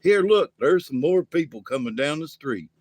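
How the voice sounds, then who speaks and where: Guy doing a dim little alien buddy, high-ish and earnest, dry close sound. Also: animated, normal speed, a little mellow, no echo. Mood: unsure. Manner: raised, earnest, folksy. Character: short dim-witted male alien sidekick.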